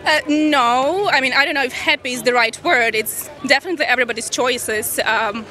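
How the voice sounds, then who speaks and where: Young woman from Ukraine, slightly high, bright voice, careful wording, street interview, handheld mic outdoors. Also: brisk. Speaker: interviewed visitor. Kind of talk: casual street interview answer. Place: outdoor exhibition walkway. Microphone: handheld reporter microphone.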